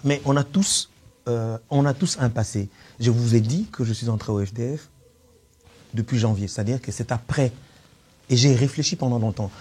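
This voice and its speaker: A man's voice: mid-range voice, darkish tone, husky. A man of Congolese origin, a political candidate, arguing his case in a debate, insistent and emphatic, often repeating words. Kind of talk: debate on a web TV show.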